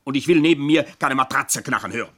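An ageing manservant in a radio drama. He is pompous and aggrieved, with formal, stilted diction and a scheming, self-pitying streak. His pitch sits in a middle range. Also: fast talker, pushed and bright. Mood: irritated, dismissive. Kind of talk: German radio play acting.

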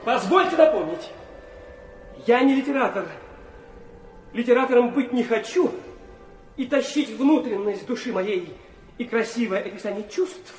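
A proud, wounded youth in an audio drama. The voice is strikingly high and boyish, declaiming with bitterness and passion. Soft music sits underneath. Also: male voice. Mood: proud, defiant. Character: resentful illegitimate teenage son, proud, wounded, high-strung. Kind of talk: radio drama acting.

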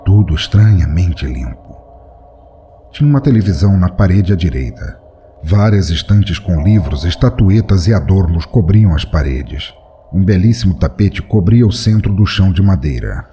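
A man's voice: deep voice, expressive, normal speed, mellow, a little hiss or hum. A man reading a first-person horror story aloud in a dramatic, tense storytelling manner. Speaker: narrator.

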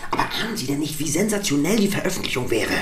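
An actor playing an agitated, conspiracy-minded small-town newspaper reporter in a radio drama. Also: male voice, on the high side, racing. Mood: indignant. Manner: excited, insistent, animated.

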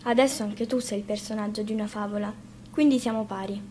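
A woman's voice: medium pitch, steady tone, fast, a little mellow, a little hiss or hum, close to an everyday voice. A woman voicing a confident, bossy six-year-old boy in a fairy tale, speaking firmly and matter-of-factly. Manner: matter-of-fact, firm.

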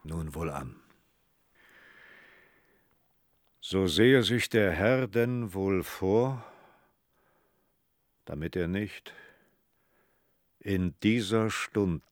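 A man's voice: low-pitched, animated, very slow, pauses often, dry recording, clean studio sound, heavily acted. A man playing a sick, grave old steward who tells fortunes in a radio drama, deferential and evasive in archaic formal speech. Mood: grave, foreboding. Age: older.

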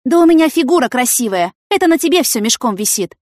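A woman's voice: high voice, animated, fast, darkish tone, no echo, clean studio sound, voice pushed up. A woman voicing a vain, impulsive, dramatic young woman in an audiobook, with theatrical, indignant exclamations. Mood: sassy, defiant. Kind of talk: audiobook character acting.

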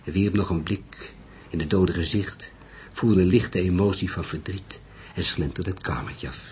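A man's voice: low voice, moderate pace, a little mellow. A man reading a crime novel as an audiobook narrator, in scripted storytelling style. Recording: faint background noise.

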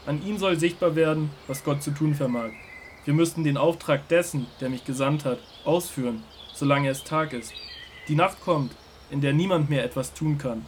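An actor playing a calm, authoritative holy teacher in an audio drama, speaking with solemn assurance. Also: male voice, a little high, moderate pace, a little hiss or hum, close to an everyday voice. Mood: solemn, assured.

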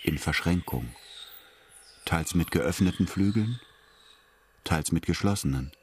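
A man's voice: sits low, lively intonation, measured pace, a little hiss or hum. A man narrating a radio drama in a scripted, literary storytelling style. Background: music.

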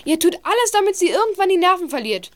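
A woman's voice: very high-pitched, very animated, fast talker. A woman playing a frank, self-assured young school sleuth in a radio play. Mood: probing, reproachful.

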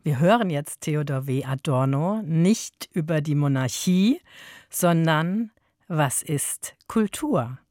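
Low-pitched female radio host, dry studio. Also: animated, slow pace, darkish tone, no room sound. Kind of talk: cultural radio presenting and interviewing.

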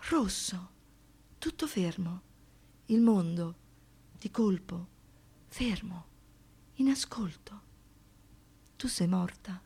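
An actress playing an anguished survivor of violence in a poetic radio monologue, speaking in halting phrases that shift between hush and outburst. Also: female voice, lowish voice, animated, breathy edge, heavily acted. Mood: hushed, bewildered. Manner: halting short phrases, dropping to whispers, rising to outbursts.

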